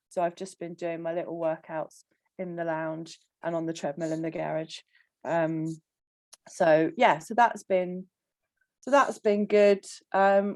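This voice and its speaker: A woman on a casual coaching call, croaky from illness, chatting with frequent ums and laughs. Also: lowish voice, darkish tone, dry recording. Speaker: coaching client.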